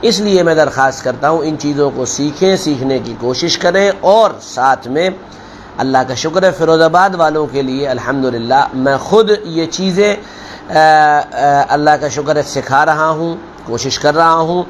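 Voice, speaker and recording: High-pitched male preacher, noisy recording. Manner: deliberate, admonishing, repeats phrases for emphasis. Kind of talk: sermon with storytelling parable.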